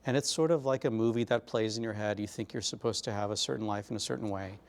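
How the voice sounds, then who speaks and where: A middle-aged man from Glendale, California, reflecting on his life in an oral history interview, speaking in a measured way with pauses and fillers. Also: fairly low pitch.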